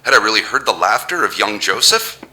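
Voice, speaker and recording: A man's voice: lowish voice, big pitch swings, a little fast, pushed and bright, slight background noise, heavily acted, poor audio. An actor playing a powerful businessman unravelling in dread, theatrical and haunted, in a horror audio drama. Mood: rattled, doubting. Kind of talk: horror audio drama, first-person narration and monologue.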